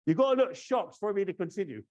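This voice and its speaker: A man's voice: high voice, expressive, quick pace, darkish tone, lo-fi sound. A man in his fifties from the UK hosting a training webinar over a video call, chatty and teasing, often checking in with "yeah?". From United Kingdom. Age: 50s. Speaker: course trainer and webinar host. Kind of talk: casual webinar presentation.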